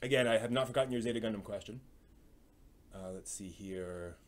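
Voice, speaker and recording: A man hosting a live stream, chatting casually and giving recommendations in a halting way, often thinking aloud. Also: fairly low pitch. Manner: halting, thinks aloud, hums filler syllables while searching.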